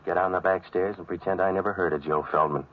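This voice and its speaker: An actor playing a cynical, wisecracking American private eye in a 1940s hardboiled radio drama, terse and deadpan. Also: male voice, low voice, fast, natural voice. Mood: dry, resigned. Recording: dull vintage radio recording.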